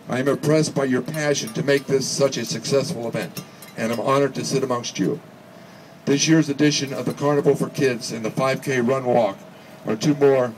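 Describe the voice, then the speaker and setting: A man reading a prepared speech at a charity event in an earnest, measured way, occasionally stumbling over words. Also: mid-range voice. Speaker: speaker reading another person's speech. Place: charity event finish line, before a gathering. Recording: distant PA speech with background noise.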